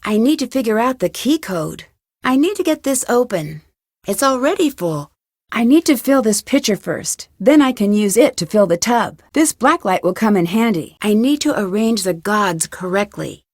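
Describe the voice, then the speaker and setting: A woman playing a curious, determined young amateur detective in an adventure game, thinking aloud in clearly enunciated remarks. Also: medium pitch, expressive, moderate pace, a little mellow, dry recording, clean studio sound. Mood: focused, puzzling.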